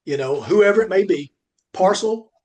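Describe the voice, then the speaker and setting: A man's voice: sits high, expressive, moderate pace, a little mellow. A man in a casual industry discussion as podcast co-host, talking in a rambling way and repeating points for emphasis.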